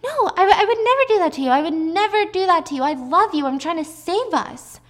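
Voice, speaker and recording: A woman's voice: very high-pitched, lively intonation, a little fast, pitched above the performer's own voice. A woman voicing a defensive, guilt-ridden young husband in a plaintive, apologetic way while retelling a film. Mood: defensive pleading. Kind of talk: acted dialogue in a movie retelling.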